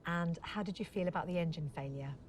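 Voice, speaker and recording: A woman's voice: fairly low pitch, fast talker, a little hiss or hum, close to an everyday voice. Probing actress as female interviewer. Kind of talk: interview questioning.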